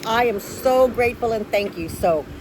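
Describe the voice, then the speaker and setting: A woman vlogger speaks in a high, excitable voice. She chats casually and effusively, as if showing off treasures to her regular viewers. There is clear ambient noise behind her. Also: moderate pace. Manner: gushing, effusive, easily excited. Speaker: vlogger, host of an unboxing channel. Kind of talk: casual excited unboxing chat.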